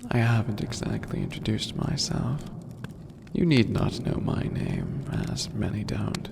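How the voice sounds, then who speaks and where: A man voicing an ancient skeletal demon overlord in an ASMR roleplay, calm, regal and formal, with a gravelly, breathy voice that often sinks to a whisper. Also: on the low side, full character voice. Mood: appraising, imperious. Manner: slow, deliberate, lofty and formal. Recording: long cavernous reverb, noisy ambient bed.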